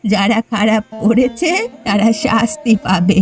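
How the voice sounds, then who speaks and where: A woman playing a devoted, concerned village wife in a cartoon, speaking gently to her husband over music. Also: medium pitch, measured pace, natural voice. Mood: reassuring. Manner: concerned, reassuring. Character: landlord's devoted, concerned wife, reassuring and pious. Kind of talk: dramatic cartoon dialogue. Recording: music behind the voice.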